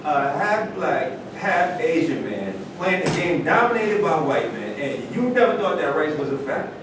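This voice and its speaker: A man's voice: sing-song, moderate pace. A man at a staged reading, playing a boastful champion athlete who talks down to others. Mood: condescending. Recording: echoey room from far off, rumbling noise.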